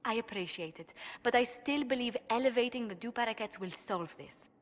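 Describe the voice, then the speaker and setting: A woman's voice: on the high side, fairly quick, natural voice. An actress playing a refined, earnest noblewoman diplomat in a fantasy game cutscene, polite, formal and articulate. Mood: earnest, diplomatic. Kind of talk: scripted video game cutscene acting. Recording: long hall reverb, faint background ambience.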